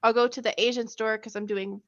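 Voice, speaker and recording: A woman's voice: on the high side, level tone, fairly quick. A woman in her thirties chatting casually and rambling, laughing often as she tells stories. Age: 30s. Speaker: stream host. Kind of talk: casual chat. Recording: muffled lo-fi sound.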